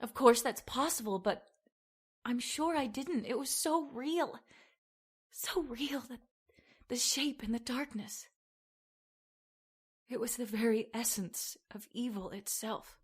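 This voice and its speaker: An actress playing a haunted young woman recounting a terror in old-time radio horror style, halting and dramatic. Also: a little high, husky. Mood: hushed dread.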